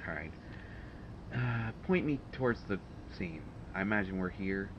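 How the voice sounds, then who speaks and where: Through a dull, noisy recording comes a sardonic male supervillain acted by a man in an intimate audio roleplay. His voice is lowish and slow. He is testy and haughty, hesitating in a dry, exasperated way. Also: lively intonation, natural voice. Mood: smug, focused.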